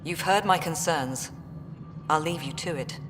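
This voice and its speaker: An actress playing a weary, bitter colonial director in a science fiction game, blunt and sardonic. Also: female voice, deep voice, measured pace. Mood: irritated, weary. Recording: reverberant hall, clear background noise.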